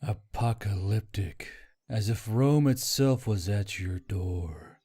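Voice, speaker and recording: A man's voice: on the low side, takes it slowly, dark tone, husky. A man voicing an ancient, proud spirit that lives in a magic necklace, speaking in a formal, ominous way in an audio story.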